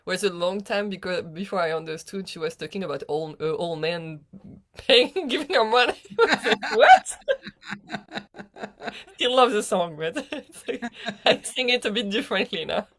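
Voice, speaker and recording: A woman's voice: mid-range voice, lively intonation, moderate pace, a bit bright. A young woman from France with a French accent, chatting casually as a guest and laughing often mid-sentence. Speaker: guest singer. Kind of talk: casual chat.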